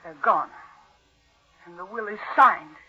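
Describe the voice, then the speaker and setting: An actress playing a scheming young niece who feigns sweetness in an old-time radio mystery. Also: female voice, mid-range voice, lively intonation, slow pace, breathy edge. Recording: muffled old radio recording.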